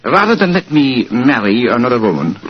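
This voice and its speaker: An actor playing a haughty but anxious Bohemian king in a formal, halting, weighty manner, in 1940s radio drama style. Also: medium pitch, sing-song, a little hiss or hum, natural voice. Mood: grave, anxious. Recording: muffled, worn 1940s radio recording.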